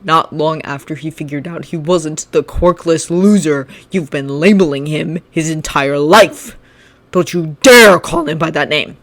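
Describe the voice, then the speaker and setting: A narrator voicing a cold, resentful teenage boy who speaks low and seething and then shouts accusations. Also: measured pace.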